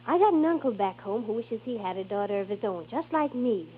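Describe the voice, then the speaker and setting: An actress playing a cheerful, carefree young movie extra in a slangy, chatty 1930s radio advertising playlet. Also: female voice, mid-range voice, expressive, a little fast, close to an everyday voice. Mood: gleeful. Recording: tinny, hissy 1930s radio recording.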